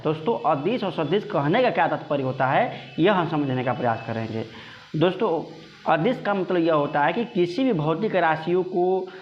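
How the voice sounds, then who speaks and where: A man's voice: fairly high pitch, slight background noise. A man teaching an online exam coaching lesson, explaining to students emphatically and repeating phrases for stress, on a dull lo-fi recording.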